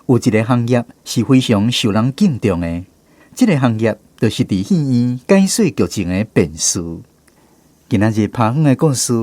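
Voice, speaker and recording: A man's voice: medium pitch, dark tone, no echo. A man with a Taiwanese accent hosting a radio programme with a scripted introduction. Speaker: radio host. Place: radio studio.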